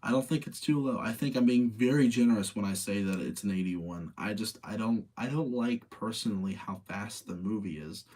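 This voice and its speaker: A man's voice: lowish voice, moderate pace, hardly any pauses, a little mellow. A man chatting casually as a streamer to a live audience, opinionated and debating with himself, often repeating phrases for emphasis. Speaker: streamer hosting a live movie-rating chat.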